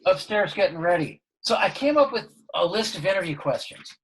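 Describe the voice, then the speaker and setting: A man's voice: high-pitched, lively intonation, a little fast, slightly rough, full character voice, low-quality recording. A man reading the part of an earnest, goofy suburban dad at a sitcom table read.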